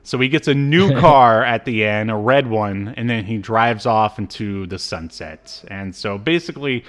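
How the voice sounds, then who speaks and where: A man co-hosting a podcast in casual review chat, with a halting, rambling delivery. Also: lowish voice, a bit bright. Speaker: podcast co-host.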